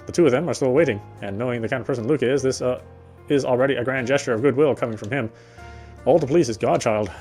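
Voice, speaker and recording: Guy reading game story text out loud real quick, trips over words, music behind him. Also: mid-range voice. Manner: stumbles and rereads phrases. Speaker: game player reading narration aloud. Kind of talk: reading visual novel narration aloud.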